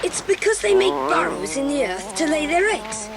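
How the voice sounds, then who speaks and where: A woman's voice: high voice, very animated, moderate pace, gravelly, a loud crowd, exaggerated voice. A woman playing an eager, knowledgeable young boy in a children's audio drama, keen and earnest. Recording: very noisy old cassette dramatisation.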